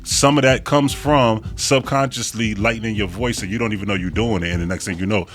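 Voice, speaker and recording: A man with a deep voice chatting casually as a podcast guest in an animated, slangy, emphatic way. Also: a little fast, faint music behind. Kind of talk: casual conversation.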